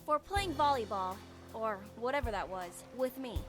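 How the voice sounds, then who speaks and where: A young woman from a visual novel, reserved and a little prickly. Her voice is fairly high, with music playing behind her. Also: animated, moderate pace, fairly bright tone. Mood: dry. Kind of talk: visual novel game voice acting.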